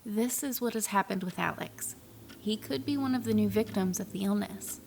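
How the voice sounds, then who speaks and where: An actress playing a composed grown daughter in an audio drama, with a clear explanatory manner. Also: female voice, medium pitch, moderate pace, a little mellow, slight background noise, close to an everyday voice.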